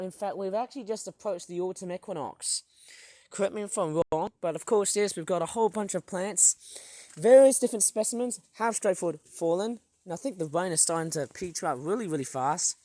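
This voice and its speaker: Hoarse vlogger, sore throat, rambling casual garden tour, outdoors, tablet mic, dry close sound. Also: mid-range voice, dry recording. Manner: rambling, filler-heavy, excitable. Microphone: tablet filming.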